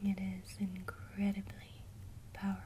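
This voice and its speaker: Woman, ASMR lesson host, soft unhurried whisper, faint hiss behind. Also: mid-range voice, completely flat delivery. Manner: slow, soft, gentle whispering. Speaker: ASMR creator teaching witchcraft.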